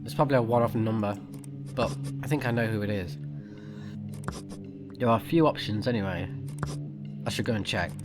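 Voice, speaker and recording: A man's voice: lowish voice, brisk, long pauses. A man doing casual let's play commentary, reading along and reacting in a blunt, informal way. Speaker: gaming YouTuber. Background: loud game music.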